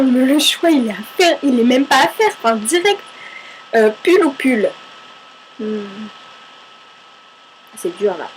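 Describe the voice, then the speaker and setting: A woman from Paris with a fairly high voice, reading out viewer questions and answering them in a loose, chatty way. She mocks herself, swears now and then and answers her own remarks. A faint hum sits under her voice. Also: poor audio. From Paris, France. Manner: chatty and self-mocking, argues with herself, swears casually. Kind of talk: casual FAQ chat to camera.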